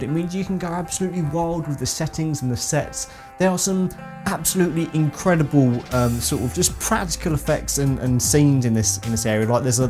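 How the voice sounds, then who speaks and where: A man hosting a video game channel, giving casual, rambling opinions in an informal, meandering way. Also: a little high. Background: background music with guitar.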